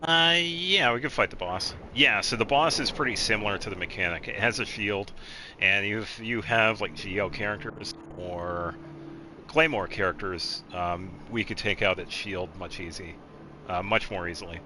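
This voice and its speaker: Bright-voiced low man talking through gameplay. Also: a little hiss or hum. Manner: halting, meandering explanations. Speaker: video game streamer. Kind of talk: casual gameplay commentary, guiding another player.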